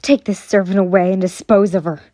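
Woman playing an imperious, forceful noblewoman villain. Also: high-pitched, a little slow, dry recording, clean studio sound, pitched above the performer's own voice. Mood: ruthless. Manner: commanding, contemptuous. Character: cold, imperious noble mother, ruthless villain.

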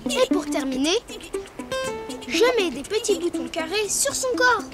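A cheerful young cartoon rabbit with a very high, childlike voice. Drawing steps are explained slowly and helpfully, with music underneath. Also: sing-song, heavily acted. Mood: explaining. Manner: clear step-by-step, childlike explaining. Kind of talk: preschool cartoon drawing instruction.

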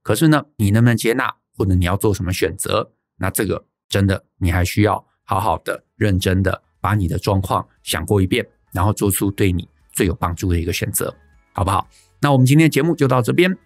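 A man's voice: fairly low pitch, fairly quick, soft background music. A male podcast host with a Taiwanese Mandarin accent talks through advice conversationally, repeating key phrases for emphasis and answering his own questions.

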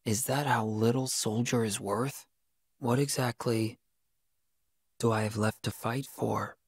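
An actor playing a young, earnest but brash and disillusioned elite soldier in a video game. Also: male voice, mid-range voice, steady tone, a little slow, breathy edge, dry recording, studio quality. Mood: weary, disillusioned. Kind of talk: video game cutscene acting.

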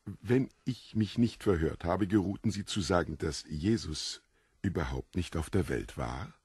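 A man playing an eerily courteous, slyly delighted foreign gentleman of about forty in a radio play, with husky voice and savoring, hesitant politeness. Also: sits low, measured pace, no echo, clean studio sound, exaggerated voice. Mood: intrigued, delighted.

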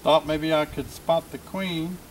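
Calm man explaining beekeeping outdoors. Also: a little high, a little slow, far from the mic, slight background noise. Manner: calm, explanatory, thinking aloud while working. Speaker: beekeeper teaching a hive inspection. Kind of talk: outdoor demonstration narration. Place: outdoors in a yard beside a beehive.

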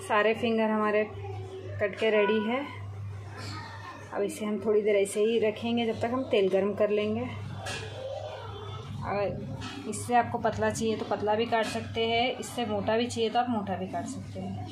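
A woman hosting a cooking channel, calmly explaining a recipe step by step from her home kitchen. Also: mid-range voice, moderate pace, long pauses, background noise.

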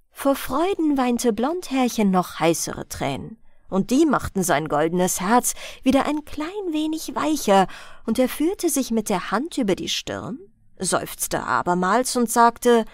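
A woman reading a fairy tale aloud as a bedtime audiobook, in a gentle storytelling manner. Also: sits high, dry recording, studio quality. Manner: slow, gentle storytelling with lively, sing-song melody. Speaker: audiobook reader.

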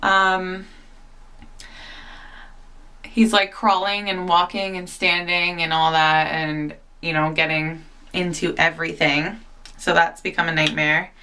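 Young woman, new mom vlogging at home, chatty, lots of ums, slow pace, tap water running behind. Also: fairly low pitch, level tone, sharp tone. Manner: chatty and self-deprecating, many fillers. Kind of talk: casual chat to camera. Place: home, indoors. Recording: voice a bit far from the mic.

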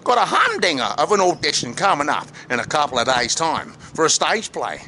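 A man playing a brash, excitable, matey Australian actor with an Australian accent and plenty of slang, in a vlog monologue. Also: on the high side, brisk, a little hiss or hum, voice pushed down, exaggerated voice.

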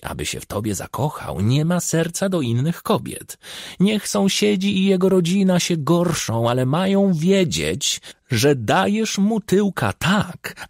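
Audiobook role: scheming old witch performed by a male reader, coarse and bawdy, husky gravelly voice, very animated pitch. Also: no room sound, clean studio sound, exaggerated voice. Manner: coarse, conspiratorial, instructing.

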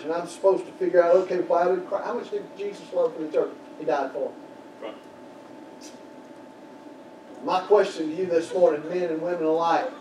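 Older pastor, fervent pulpit preacher, echoey sanctuary recording with room noise. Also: male voice, high-pitched. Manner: fervent preaching with sudden shouted peaks, then quiet prayer. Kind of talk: sermon. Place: small church sanctuary. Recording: roomy church echo from far off, background noise.

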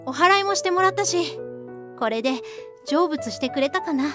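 A woman's voice: high voice, lively intonation, moderate pace. A woman playing a friendly, sweet young woman in an audio drama, speaking in a casual, girlish and direct way. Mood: curious, thoughtful. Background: background music.

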